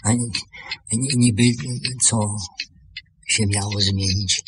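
Slow, halting old shopkeeper. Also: male voice, on the low side, fairly even intonation, a bit bright, slight background noise, rough recording. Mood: awkward, uneasy. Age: older. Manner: halting, repeating words, stammering. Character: old widowed shopkeeper, lonely, hesitant, gentle watchmaker. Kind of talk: radio play acting.

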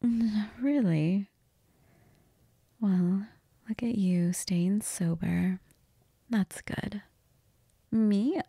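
Woman playing a breathy, flirtatious vampire. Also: mid-range voice, no room sound, studio quality, full character voice. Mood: seductive. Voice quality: breathy, half-whispered.

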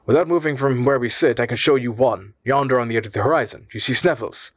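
A man acts out a courteous, learned male professor in a storybook reading, proud of his country. He speaks formally and earnestly, pitched a little above a middle male voice, in a dry recording. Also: quick pace, no room sound, close to an everyday voice. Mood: eager.

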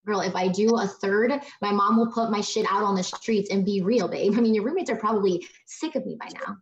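A woman's voice: mid-range voice, fairly quick, a little hiss or hum, close to an everyday voice. A woman acting an exasperated, streetwise young woman in a comedy table read, slangy and emphatic, from a living room on a video call. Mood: apologetic. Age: young adult. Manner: slangy, emphatic, repeats words. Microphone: laptop microphone. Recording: echoey, dull video-call audio.

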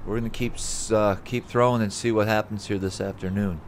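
Man, 30s, fishing vlogger, low voice, excitable commentary, outdoor boat noise behind. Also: brisk. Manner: excited exclamations when hooking fish. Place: open bass boat on a wide river outdoors. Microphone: boat-mounted action camera. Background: boat and water noise.

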